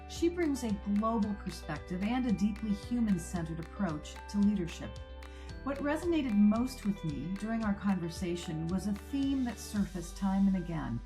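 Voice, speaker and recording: A woman hosting a podcast, reading a polished introduction and then interviewing her guest in a measured way. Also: medium pitch, mellow. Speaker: podcast host.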